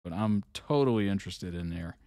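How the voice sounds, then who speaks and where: Easygoing low-voiced man musing aloud. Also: lively intonation, brisk, warm tone, no room sound, clean studio sound. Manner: easygoing, musing, frequent fillers and chuckles. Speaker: reaction video host. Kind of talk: casual live reaction chat.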